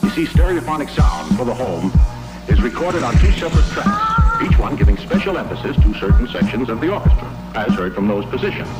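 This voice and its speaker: A man giving formal, measured scripted narration in the manner of a vintage audio demonstration record. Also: medium pitch, wildly swooping pitch. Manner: formal, measured announcer delivery. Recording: narration sample mixed into loud music.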